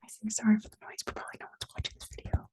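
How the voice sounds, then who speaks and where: A woman's voice: racing, a little mellow. A young adult woman with a Canadian accent whispering casually in a rambling, apologetic ASMR style, close to the microphone. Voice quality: breathy whisper. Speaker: ASMR video creator.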